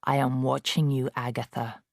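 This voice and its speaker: A woman voicing the ghost of a man, speaking slowly and menacingly. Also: level tone, creaky, no echo, clean studio sound, heavily acted. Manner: slow, low, menacing.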